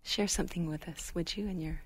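A woman hosting an interview, soft-spoken and appreciative toward her guests. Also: on the low side, steady tone, a little fast, slightly rough, dry recording. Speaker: host.

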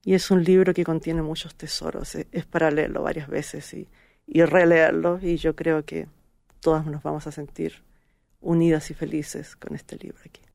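Gravelly woman, earnest. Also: low-pitched, level tone, fairly quick, darkish tone, no room sound. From Chile. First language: Spanish. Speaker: guest. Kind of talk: heartfelt personal testimony.

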